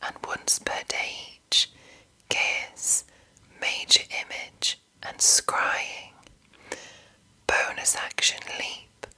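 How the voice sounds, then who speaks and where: Female ASMR host: breathy whisper, extremely unhurried delivery, short deliberate phrases, long pauses. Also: sharp tone. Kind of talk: whispered ASMR reading and commentary.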